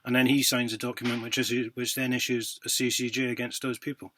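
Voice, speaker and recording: A man's voice: mid-range voice, flat delivery, fast talker, sharp tone. A British man in a casual phone conversation, speaking in an insistent, plain-spoken, matey way. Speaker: caller disputing a court judgment.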